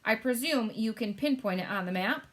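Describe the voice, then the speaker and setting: A woman's voice: a little high, lively intonation, moderate pace, lightly acted. A woman playing a proud, huffy air force commander in a storybook read-aloud. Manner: huffy, indignant. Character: proud, testy male air force chief.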